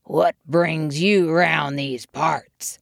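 Lady doing a grumpy male bear bully, blustery cowboy talk, low, full of himself. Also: steady tone, drawn-out words, gravelly, dry recording, clean studio sound, heavily acted. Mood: haughty. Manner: blustering, folksy western talk.

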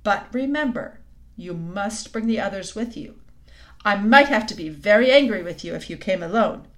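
A woman's voice: medium pitch, animated, normal speed, close to an everyday voice. A woman voicing a scheming queen who coaxes a boy with sweet, sly promises. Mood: coaxing. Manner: coaxing, silky, suddenly sharp. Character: sweetly scheming cold queen, tall and commanding.

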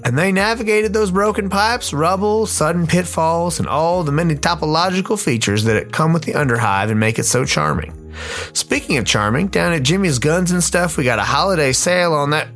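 A man's voice: on the high side, lively intonation, brisk, a bit bright, faint music behind. A man narrating a comic radio play as its host, dramatic in delivery with a wry touch.

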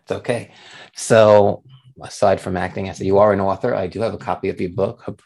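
Casting: male interview show host, deep register with noticeable fry, presenting and questioning. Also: fairly even intonation, brisk, darkish tone.

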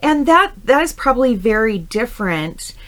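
A woman's voice: a little high, very animated, normal speed, a bit bright. A woman hosting a conversational interview in an informal, encouraging manner. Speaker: interview host.

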